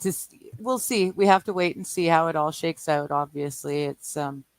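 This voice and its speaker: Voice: mid-range voice, normal speed, dry recording. Chatty guest on a dry voice call. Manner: hedging, chatty, laughs often. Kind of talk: casual online chat. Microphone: remote call over Discord.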